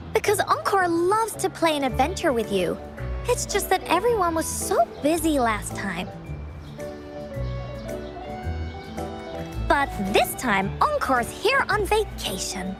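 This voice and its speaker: A woman's voice: high-pitched, full character voice. A woman voicing a cheerful, childlike girl who talks about herself in the third person, in a video game. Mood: warm. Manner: bubbly, refers to herself by name. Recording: game audio with music behind.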